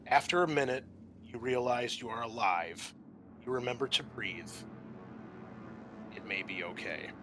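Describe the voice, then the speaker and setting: A man's voice: mid-range voice, unhurried, pauses often, noisy background. A man hosting as game master, narrating a tabletop roleplaying game in a casual, often profane manner.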